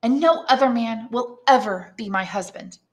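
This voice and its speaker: A woman's voice: on the high side, normal speed, darkish tone, voice pushed up, close to an everyday voice. A woman voicing a devoted, firm young woman in love in a storybook reading, speaking earnestly and emphatically. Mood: firm, resolute.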